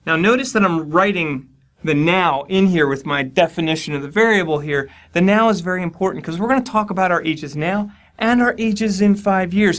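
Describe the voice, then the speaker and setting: A man's voice: a little high, a bit bright. A man in his forties teaching a math lesson at a board, explaining each step with dry, self-deprecating humor. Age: 40s. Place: studio in front of a dark board.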